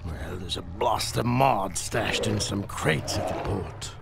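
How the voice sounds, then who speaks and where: Sly gravelly crime boss, slow drawl, lively pitch, game cutscene. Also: male voice, very noisy, exaggerated voice. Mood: oily. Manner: drawling, ends lines with a coaxing "eh?". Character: obese scheming male crime boss, oily and greedy. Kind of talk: video game cutscene acting. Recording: game audio with loud music.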